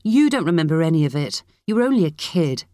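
An angry aunt from an audiobook drama, acted out by a female narrator, scolding and sharp. Her voice sits raised in pitch, with a harsh temper, in a close, dry recording. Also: lively intonation, a little slow, no echo.

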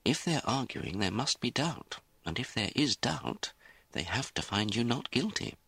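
Shrewd storybook lawyer, voiced by a male narrator, measured, gently resigned, pitched up, dry recording. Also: big pitch swings, creaky, breathy edge, no echo, full character voice. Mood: calm, reasoning. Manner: measured, reasoning. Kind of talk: audiobook character acting.

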